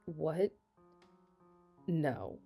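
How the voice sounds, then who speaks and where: A young woman playing a flustered, affectionate best friend with a secret crush in an intimate ASMR roleplay, speaking hesitantly and haltingly. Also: sits low, animated, creaky, warm tone, breathy edge, faint music behind, heavily acted. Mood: defensive, incredulous. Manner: hesitant, halting, breaking into nervous laughs. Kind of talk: ASMR romantic roleplay, intimate conversational acting.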